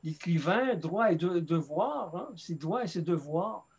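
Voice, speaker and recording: High-pitched male moderator, stumbling through questions to the panel. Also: animated, measured pace, slightly rough. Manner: stumbling, repeats words while framing questions. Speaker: colloquium moderator.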